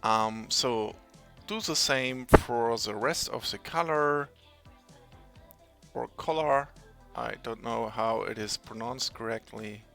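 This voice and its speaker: Male, German native, slow hesitant tutorial read, gravelly and bright, faint music behind. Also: medium pitch. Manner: slow, halting, searches for English words. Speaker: tutorial maker. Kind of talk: screen-recorded software tutorial.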